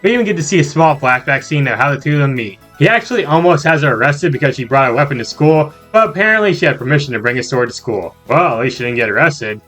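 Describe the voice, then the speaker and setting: A man's voice: mid-range voice, lively intonation, fast, hardly any pauses, a bit bright. A man narrating a scripted comedic video review in a playful, exaggerated way with jokey asides. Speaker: video game reviewer, channel host. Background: background music.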